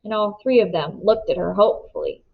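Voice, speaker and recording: A woman reading a children's novel aloud in expressive storybook narration, on a slightly dull recording. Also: medium pitch, a little fast, gravelly.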